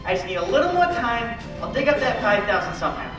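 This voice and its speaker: An earnest, kindly small-town man acted by a young man in a radio play, with a lively swinging pitch. He sounds distant in a very echoey hall with music behind. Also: fast talker. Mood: pleading, worried. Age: young adult. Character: earnest, kindly small-town men: worried father, cabbie. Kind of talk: old-fashioned radio play acting.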